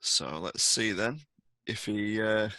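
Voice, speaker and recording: Man, game master, tabletop narration, sharp bright tone, dry sound. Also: on the low side, takes it slowly, dry recording.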